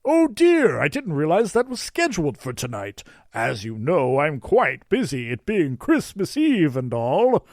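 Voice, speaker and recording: A man's voice: a little high, huge pitch swings, measured pace, few pauses, darkish tone, no room sound, clean studio sound, pitched above the performer's own voice, exaggerated voice. A man voicing a blustery, flustered old Santa Claus with a theatrical manner in an audiobook. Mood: put-upon, theatrical. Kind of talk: audiobook character voice.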